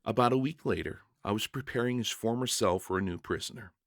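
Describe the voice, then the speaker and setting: A man's voice: fairly low pitch, fast talker, dry recording, clean studio sound, lightly acted. A man playing a bewildered, earnest jailer who tells his story in the first person with dramatic, stammering emotion. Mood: reflective, moved. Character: young-hearted jailer narrator, bewildered, earnest, emotional father.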